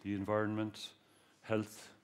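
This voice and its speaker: A man's voice: fairly low pitch, monotone, a little slow, a little hiss or hum. Joking man with a Cork accent. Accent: Cork Irish. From Ireland. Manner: joking, addresses the audience directly. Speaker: guest speaker, research engineer. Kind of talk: public talk to students.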